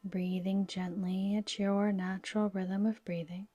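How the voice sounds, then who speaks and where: Slow, breathy woman guiding meditation. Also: medium pitch, level tone, no room sound. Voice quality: soft, breathy, whispery. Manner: soothing, gentle, unhurried instruction. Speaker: yoga nidra guide. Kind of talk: guided relaxation meditation.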